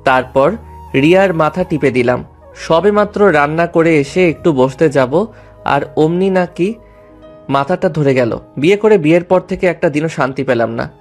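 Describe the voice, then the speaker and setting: A man's voice: fairly high pitch, lively intonation, moderate pace. A man narrating a dramatized story in the first person. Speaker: story narrator and lead character. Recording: music behind the voice.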